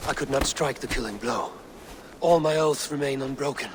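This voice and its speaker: A man's voice: medium pitch, normal speed, background noise, natural voice. An actor playing a proud, resolute Norse god-king in a video game, speaking solemnly in formal, archaic phrases. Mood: regretful, solemn. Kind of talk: dramatic video game acting.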